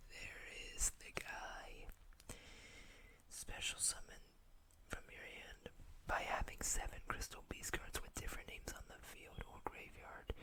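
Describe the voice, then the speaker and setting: A woman speaks entirely in a breathy whisper, as an ASMR host does. She takes her time, letting each phrase come slowly. Her manner is gentle and casual, like informal show-and-tell.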